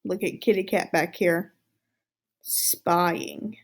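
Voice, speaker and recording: A woman's voice: on the low side, steady tone, takes it slowly. A woman reading a storybook aloud to children, adding casual asides like a teacher.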